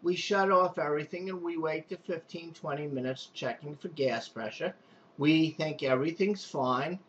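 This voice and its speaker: A man's voice: on the high side, normal speed, fairly bright tone. Rambling Long Island repairman, dull lo-fi sound. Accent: Long Island New York. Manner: rambling, loose, with many restarts and fillers. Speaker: pool and spa technician. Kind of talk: unscripted how-to demonstration.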